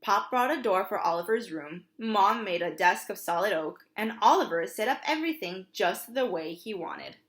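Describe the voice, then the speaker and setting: A woman's voice: mid-range voice, lively intonation, normal speed, few pauses, fairly bright tone. A woman preschool teacher reads a storybook aloud for young children, expressively, with simple asides to her listeners.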